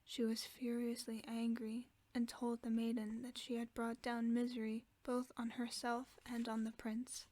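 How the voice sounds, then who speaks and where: Woman whispering a bedtime story up close, dark mellow tone, flat and even, very dry. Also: fairly high pitch, low-quality recording. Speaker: ASMR storyteller.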